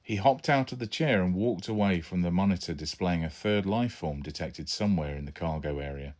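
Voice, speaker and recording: Low-pitched man narrating a children's story. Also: a little fast, darkish tone. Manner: storytelling, voices each character. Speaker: author reading own children's book. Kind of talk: scripted audiobook narration.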